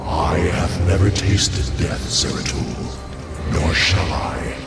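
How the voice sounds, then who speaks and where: A man's voice: deep voice, flat delivery, slow pace. A man playing the noble, serene spirit of a fallen alien hero in a video game, speaking in a solemn, stately way with echoing reverb. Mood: serene, lofty. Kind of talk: dramatic scripted game dialogue. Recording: echoing reverb under loud game music.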